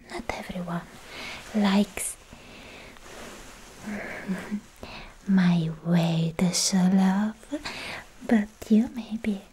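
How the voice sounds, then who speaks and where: Girl acting as a sweet lovesick demon slayer woman, whispery asmr, super slow, close mic with some hiss and clicks. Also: medium pitch, rough recording. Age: young adult. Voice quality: whispery, breathy soft voice. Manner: slow, halting, affectionate murmuring. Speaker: ASMR roleplayer. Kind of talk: ASMR personal attention roleplay. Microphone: close microphone, whispering near it.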